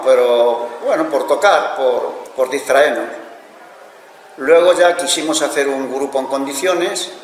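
An older man from Spain sits indoors, telling his life story in a plain, unhurried way. His voice sits in a middle register. The room rings with a long echo, and a faint hiss runs under him. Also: medium pitch. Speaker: interviewee, amateur musician. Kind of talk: casual recollection to camera. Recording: echoey room with faint noise.

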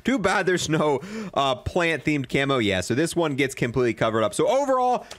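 A young man giving casual, slangy, excitable commentary as he reviews game content. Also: on the high side, brisk, dry recording. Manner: excitable, slangy bro-and-dude talk. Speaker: gaming video creator.

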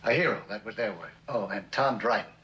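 An actor in his forties with an American accent playing a guilt-ridden, bitterly self-mocking husband in a halting, hesitant 1960s television drama style. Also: fairly low pitch, a bit bright, dull audio, natural voice. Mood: bitter self-mockery. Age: 40s. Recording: noisy vintage television soundtrack.

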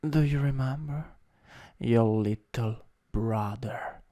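A man with an Italian accent improvising ASMR as a teasing, domineering older stepbrother, in a halting, intimate, breathy voice that often drops to a whisper. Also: medium pitch, warm tone. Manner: slow, intimate, halting delivery. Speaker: ASMR roleplay performer. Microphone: close USB microphone.

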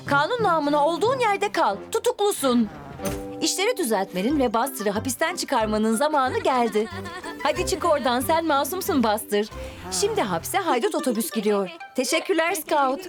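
A woman narrating a cartoon for young children, expressive and exclamatory, often asking questions and addressing the characters. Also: sits high, moderate pace, over music.

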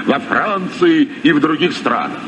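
Animated, learned sea captain. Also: male voice, on the high side, a little slow. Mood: approving. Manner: lecturing, elevated diction. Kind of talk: Soviet radio play acting. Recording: dull, noisy old radio recording.

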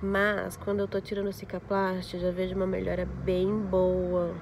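A young woman vlogging casually to her phone camera, congested with a flu and a scratchy throat, explaining her skin recovery slowly. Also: lowish voice, completely flat delivery, gravelly, darkish tone. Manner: talks slowly through skin recovery, showing details. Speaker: beauty vlogger. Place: inside a parked car, later a home sofa. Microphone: phone camera at arm's length.

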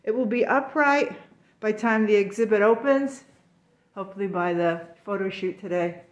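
A woman artist giving a casual, enthusiastic walkthrough of a gallery show, filmed on a handheld phone. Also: medium pitch. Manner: chatty, enthusiastic, unhurried. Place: gallery in an old brick factory building.

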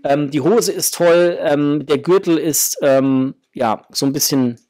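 A middle-aged man with a slightly husky voice, chatting casually and enthusiastically to viewers as the host of an unboxing video. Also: on the high side, takes it slowly, darkish tone. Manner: enthusiastic, effusive. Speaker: host of a toy collecting channel. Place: small room at home with framed posters, indoors.

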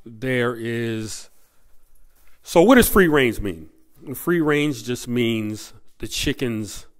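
A man hosting a video, giving unscripted commentary in a halting way, repeating and stressing his points. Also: mid-range voice. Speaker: video host.

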